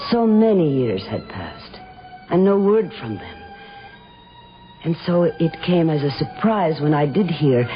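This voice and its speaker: An actress playing a proud, willful, mysterious film star with an imperious, theatrical manner, in a radio drama. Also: female voice, low voice. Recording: dull old radio recording with music.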